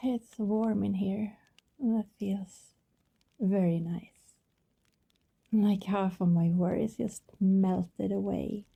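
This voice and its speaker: Actress as timid female vampire neighbor, very breathy and husky, gravelly fry, apologetic, ASMR roleplay, slightly muffled close mic. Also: mid-range voice, very slow, pauses often, heavily acted. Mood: relieved, cozy. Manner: hesitant, soft-spoken, apologetic, breathy. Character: shy, secretive young female vampire neighbor, politely pleading.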